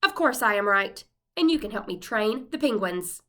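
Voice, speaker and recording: A woman voicing a mild, optimistic, dreamy husband in a storybook, speaking gently and earnestly. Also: mid-range voice, animated, moderate pace. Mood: eager, thoughtful.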